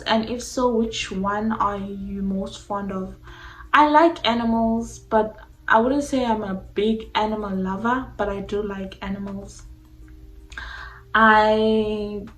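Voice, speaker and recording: A young South African woman whose first language mixes Xhosa and Zulu, chatting casually and informally to viewers in a question-and-answer vlog. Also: on the high side. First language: a mixture of Xhosa and Zulu. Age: young adult. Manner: informal, uses "like" constantly. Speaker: YouTuber answering personal questions. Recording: echoey room with faint music.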